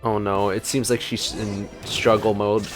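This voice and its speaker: A male gameplay streamer with a low voice, talking casually as he plays. He muses aloud and reacts in passing, with game music faintly behind him. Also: flat delivery, measured pace. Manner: musing, thinking aloud.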